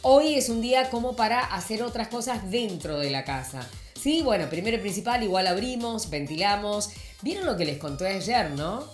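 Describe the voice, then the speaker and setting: A woman's voice: mid-range voice, animated, normal speed, reverberant, faint music behind. Chatty Argentine woman hosting morning radio. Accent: Argentine Spanish. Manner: warm greeting, rambling with many fillers and restarts. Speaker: morning radio show host. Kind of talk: casual chatty radio talk. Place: radio studio.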